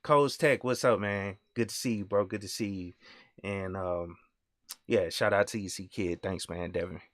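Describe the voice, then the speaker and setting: A man's voice: sits low, steady tone, normal speed, no room sound. Easygoing young man hosting a stream. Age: young adult. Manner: loose, trails off, "you know what I'm saying". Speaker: host. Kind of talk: casual live-stream interview.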